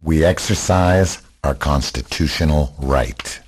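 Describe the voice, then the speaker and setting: A man acting a hard, grim rebel leader in a radio drama, solemn and declarative, with a husky voice. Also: slow, darkish tone, exaggerated voice. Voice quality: husky, very deep.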